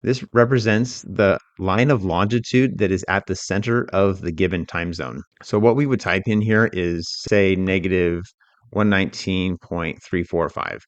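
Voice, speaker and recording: A man giving an informal, matter-of-fact software tutorial, explaining step by step as an instructor. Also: low voice, no room sound.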